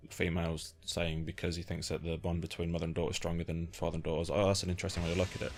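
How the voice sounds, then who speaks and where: A man's voice: deep voice, monotone, racing. A man interviewing someone in a casual chat, speaking informally and bluntly. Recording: lo-fi amateur interview setup.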